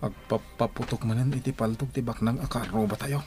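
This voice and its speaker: An actor playing a poor, anxious village husband with a husky voice and a complaining manner in an Ilocano radio drama. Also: male voice, mid-range voice, fairly even intonation, fairly quick, over music, close to an everyday voice. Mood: grumbling. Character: poor village husband, anxious, grumbling about rich neighbors.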